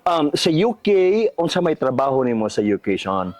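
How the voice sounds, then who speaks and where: Animated Filipino podcast host, a man. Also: medium pitch, fairly quick, no echo. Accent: Filipino, Bisaya. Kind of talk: casual bilingual chat.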